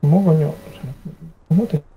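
A man's voice: on the high side, moderate pace, slight background noise. A man on voice chat who mostly gives brief reactions to his friend during a game. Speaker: co-player on voice chat. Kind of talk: casual gameplay chat. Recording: gravelly, tinny voice chat line.

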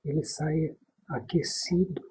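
A man with a Brazilian accent leading a guided sleep meditation, calm and unhurried, pausing between short phrases in a husky, breathy voice. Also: on the high side. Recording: dull, muffled lo-fi recording.

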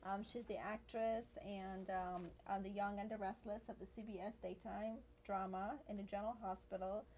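A woman's voice: medium pitch, level tone, normal speed, darkish tone. A woman hosting a studio talk show, introducing a guest in a bubbly way with frequent ums. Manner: bubbly, says "um" a lot. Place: large television studio set.

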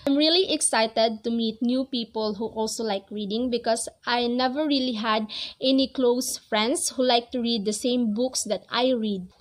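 A woman's voice: a little high, fairly even intonation, moderate pace. A young woman in her twenties, not a native English speaker, talking earnestly to the camera about reading in a small room. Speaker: book vlogger. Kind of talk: casual talk to camera. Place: small cozy bedroom with string lights. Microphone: camera at close range.

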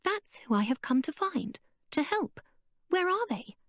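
A woman voicing an eager, determined young woman, her voice pitched up, breathless and urgent. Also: very animated, a little slow, no room sound, studio quality.

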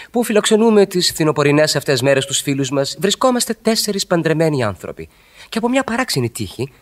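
An actor playing an urbane, teasing married country-house host in Greek radio theatre, wry and provocative. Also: male voice, fairly high pitch. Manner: wry, provocative, mock-respectful.

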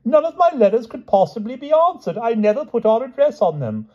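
A man voicing a giddy, excitable, dithering old-fashioned king in a storybook, chatty and blustering. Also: high-pitched, normal speed, husky, dull audio, voice pushed up, full character voice. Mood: high delight. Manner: blustering, chatty, repetitive questioning.

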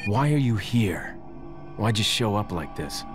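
An actor playing a tough, defiant, wisecracking young American agent in a video game. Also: male voice, lowish voice, big pitch swings, a little slow, loud music behind the voice. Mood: questioning. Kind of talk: video game action hero acting.

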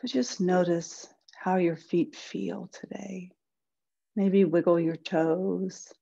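A woman with a slightly low, soft and breathy voice leads a guided meditation. She speaks very slowly and gently, in a soothing way that leaves room between instructions. The sound is a little dull. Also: steady tone. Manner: soothing, gentle, unhurried with pauses. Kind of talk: guided body-scan meditation.

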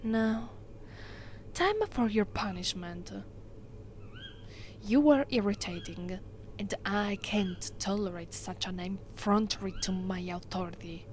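Slow, haughty Italian-accented vampire mistress, acted by a woman. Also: mid-range voice, a little hiss or hum, close to an everyday voice. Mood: possessive, stern. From Italy. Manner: imperious, slow and deliberate. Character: haughty, possessive immortal female vampire, mistress of a castle. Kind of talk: ASMR roleplay, soft and often whispered.

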